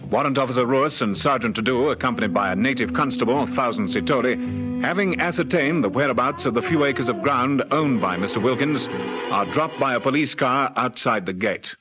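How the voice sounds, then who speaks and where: A man's voice: mid-range voice, animated, brisk, music in the background. A man giving formal, clipped, report-like narration for a vintage radio crime serial. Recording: old radio serial recording.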